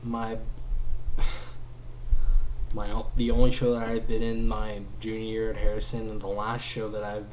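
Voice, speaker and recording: Young male vlogger, mellow and even-toned, rambling webcam talk from a small home room. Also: fairly low pitch, a little slow, long pauses, a little hiss or hum, poor audio. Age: young adult. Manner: rambling, heavy use of "like", restarts often. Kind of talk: casual talk to camera. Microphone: webcam at desk distance.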